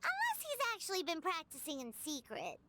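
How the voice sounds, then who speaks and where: A woman voicing a tiny, cheeky, excitable childlike fairy companion in an anime game, in exclamatory fashion. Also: extremely high pitch, brisk, heavy background noise, exaggerated voice. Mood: puzzled. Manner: speaks of herself in the third person, exclamatory. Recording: loud game music behind.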